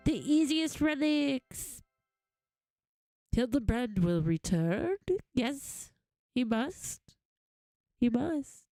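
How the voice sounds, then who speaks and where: A woman's voice: high voice, expressive, extremely slow, long pauses, mellow, dry recording, clean studio sound. A woman game streamer reacting casually on a livestream in a gushing, goofy, playful way. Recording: faint music behind.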